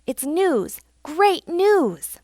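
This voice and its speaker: A woman voicing a proud, excitable little male otter from a storybook, emphatic and provoking. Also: on the high side, a little slow, no echo, clean studio sound. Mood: excited.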